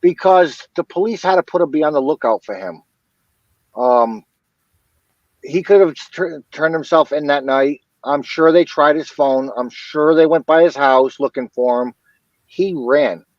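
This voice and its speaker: Higher-voiced man, dull lo-fi sound. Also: unhurried, dry recording. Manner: rambling, repeats himself. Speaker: interview guest. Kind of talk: casual commentary on a crime case.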